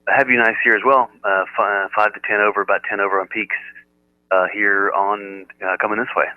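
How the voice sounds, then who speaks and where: An American man chatting casually as an amateur radio operator, with a halting delivery. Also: fairly low pitch, little pitch movement, a little hiss or hum. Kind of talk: casual ham radio chat. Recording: narrow-band, telephone-like radio audio.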